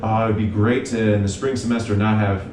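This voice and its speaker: A young man speaks in an earnest, measured way, recounting his path as a guest. His slightly low voice sits back from the mic in a roomy, echoing space. A steady rumble hums beneath. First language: English. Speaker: guest, mental health provider. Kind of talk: reflective interview talk. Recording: echoey room, distant mic, noisy rumble.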